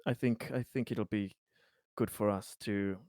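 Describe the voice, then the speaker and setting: A man improvising in-character dialogue in a tabletop roleplaying game, halting and hesitant as he searches for words. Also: on the low side, steady tone, a little mellow, dry recording, studio quality.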